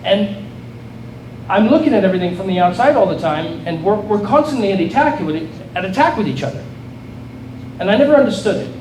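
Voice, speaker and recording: South African man, middle-aged, high-pitched, earnest political speech, distant and echoey, noisy hall. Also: lively intonation, a little fast, darkish tone. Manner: earnest, rallying, repeats and restarts phrases. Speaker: state legislator giving a speech. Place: event hall with an audience.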